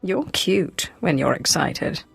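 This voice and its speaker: A poised, lowish-voiced dark sorceress. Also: female voice, animated, takes it slowly, vocal fry, slightly rough, full character voice. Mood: teasing, pleased. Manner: composed, coolly persuasive. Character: poised, self-assured female Sith, smoothly charming and persuasive. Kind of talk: video game voice acting. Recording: music behind the voice.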